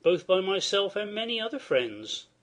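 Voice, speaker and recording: A man playing a worried, dignified older nobleman and father, reading Shakespearean verse in a formal, measured, declamatory way. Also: high-pitched, big pitch swings, fairly bright tone. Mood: troubled, grave.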